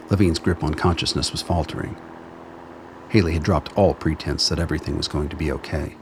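A man narrating a radio play in a measured, solemn storytelling manner. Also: low-pitched, slight background noise.

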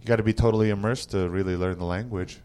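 A male comedian on a podcast, chatting casually in a lively, emphatic way and laughing often. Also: fairly low pitch, fast, clean tone.

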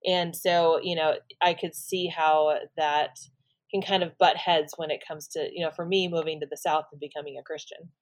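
A woman from San Francisco with a notably low voice joins a casual podcast discussion. She agrees easily and ties the topic to her own life, pausing with "uh" and "um". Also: level tone. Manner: agreeable, personal, some fillers. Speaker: podcast co-host.

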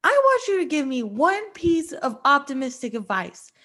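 High-voiced, anxious young woman acted by a woman. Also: big pitch swings, normal speed, a bit bright. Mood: pleading. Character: anxious, earnest young woman seeking reassurance. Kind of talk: improv comedy scene.

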